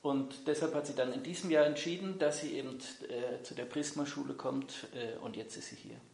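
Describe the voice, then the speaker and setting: A man's voice: medium pitch, fairly quick, vocal fry, a little mellow. A man leading a church gathering and interpreting a guest's words, friendly and hesitant, with frequent filler sounds. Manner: friendly, hesitant with frequent ums, switching languages. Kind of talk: church announcement and live interpreting. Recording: echoey hall.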